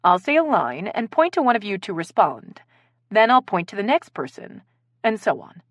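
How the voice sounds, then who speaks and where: A woman's voice: medium pitch, big pitch swings, normal speed, dry recording, lo-fi sound, close to an everyday voice. A woman narrator voicing a theatrical, commanding woman who leads an improv game in a story. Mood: eager, instructing. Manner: theatrical, directing.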